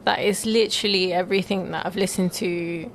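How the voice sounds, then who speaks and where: A woman's voice: lowish voice, steady tone, a little fast. South London woman chatting, slightly noisy recording. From South London, born in Colombia. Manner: informal, anecdotal, quoting conversations. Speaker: singer-songwriter, interview guest. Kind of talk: casual chat.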